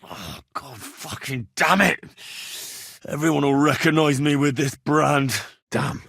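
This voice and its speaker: An actor playing an earnest, blunt, quick-tempered young medieval adventurer in video game dialogue, with a husky voice. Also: male voice, on the high side, dry recording, clean studio sound, heavily acted. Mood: frustrated, cursing. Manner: expressive, blunt, frequent swearing.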